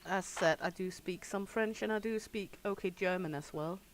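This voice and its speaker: A woman's voice: lowish voice, normal speed, background noise. A Danish woman with a Danish accent, chatting casually to her viewers while she plays, laughing often. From Denmark. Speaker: gaming streamer. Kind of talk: casual livestream commentary.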